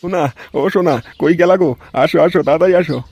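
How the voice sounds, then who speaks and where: A man voicing a lecherous, wheedling middle-aged father in a comedy cartoon, gravelly and oily. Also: high-pitched, fairly even intonation, fast. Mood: eager, lustful. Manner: oily, coaxing. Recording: clear background noise.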